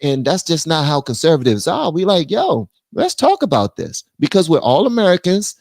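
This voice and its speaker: A man's voice: fairly high pitch, normal speed, gravelly, no room sound, clean studio sound. A man hosting a livestream of political commentary, talking bluntly with slang and slipping into mock voices. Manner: animated, drops into mock voices. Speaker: livestream host.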